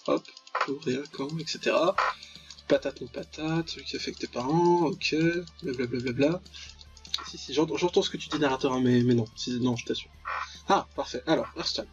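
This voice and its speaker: A man's voice: medium pitch, normal speed. A young man giving casual, jokey, mock-dramatic commentary while playing a visual novel, muttering asides and reading text aloud. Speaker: gaming video host. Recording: dull lo-fi voice track over clear music.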